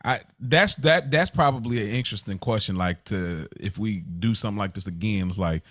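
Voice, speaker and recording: A man speaking on a podcast in relaxed, informal conversation. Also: lowish voice, fairly quick, dry recording, studio quality. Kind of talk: casual chat.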